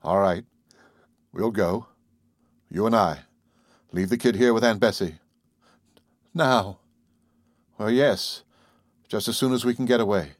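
A man reading an audiobook, voicing a calm middle-aged doctor who quietly reassures his wife. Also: fairly low pitch, a little slow, long pauses, no echo, clean studio sound, natural voice. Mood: soothing.

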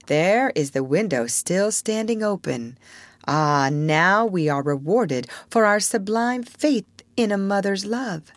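A woman's voice: medium pitch, takes it slowly, no room sound, voice pushed up, lightly acted. A woman voicing an earnest, motherly young girl who tells a storybook tale in a prim, theatrical way. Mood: grand, dramatic. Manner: theatrical, prim, hushing her listeners.

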